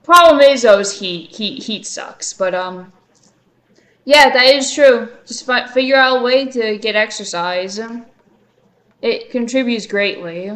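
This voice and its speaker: A woman's voice: a little high, a little slow, a bit bright. A woman hosting a reaction video with casual, joking commentary and playful asides.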